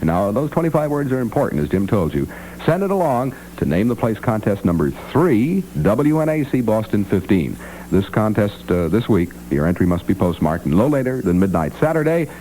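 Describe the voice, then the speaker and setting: A man's voice: lowish voice, sing-song, moderate pace, dark tone. An American man hosting a morning radio show, announcing and bantering conversationally straight to listeners. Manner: conversational, addresses listeners directly. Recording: noisy vintage 1960s radio aircheck.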